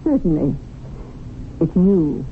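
Female schemer, lowish voice, unhurried and composed, on muffled, slightly noisy vintage radio. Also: full character voice. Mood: calm, scheming. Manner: calm, deliberate. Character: cool, self-possessed, ambitious scheming woman. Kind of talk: 1970s radio drama acting.

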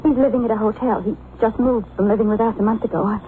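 An actress playing a sweet, anxious young daughter in an old radio drama, hesitant and pleading. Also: female voice, fairly high pitch, fairly even intonation, quick pace, natural voice. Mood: worried. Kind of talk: 1940s-50s radio drama acting. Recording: muffled, noisy old radio recording.

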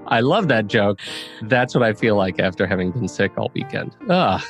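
A man hosting a podcast in a casual, chatty way, expressive and playfully dramatic in his emphasis. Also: fairly low pitch, moderate pace, faint music behind. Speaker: podcast host.

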